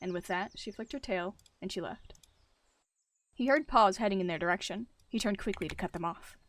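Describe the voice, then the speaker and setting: A woman's voice: medium pitch, moderate pace, fairly bright tone, slight background noise. A woman reading scripted narration for an animal roleplay story.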